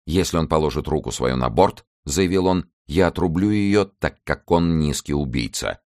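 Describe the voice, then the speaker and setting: A man voicing a cool, cold-blooded musketeer who speaks calmly. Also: rumbling low, moderate pace, dry recording, studio quality. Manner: cool, calm.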